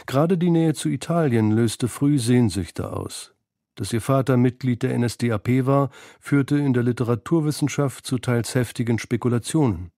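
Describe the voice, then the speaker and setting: Mellow-voiced man narrating a documentary. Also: mid-range voice, no echo, studio quality. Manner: measured, analytical. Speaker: feature narrator. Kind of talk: scripted radio documentary narration. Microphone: close studio microphone.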